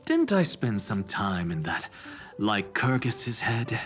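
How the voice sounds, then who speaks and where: A man's voice: fairly low pitch, sing-song, slow pace, over music. A man voicing a proud, eccentric scholar in an anime-style video game, erudite, self-assured and theatrical. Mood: irreverent, impassioned. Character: eccentric, proud male scholar, irreverent philosophical professor.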